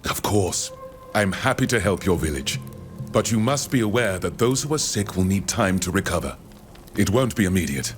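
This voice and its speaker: A man playing a courteous, learned foreign scholar in a video game, speaking in measured, formal, precise phrases. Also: sits low, lively intonation, soft background music. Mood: courteous, concerned. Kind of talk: video game dialogue acting.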